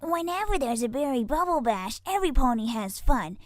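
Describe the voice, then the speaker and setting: A woman plays a chirpy young cartoon filly, high and very lively. She is eager and singsong, with a dry, close sound. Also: fairly quick, dry recording. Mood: excited. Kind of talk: cartoon-style audio drama.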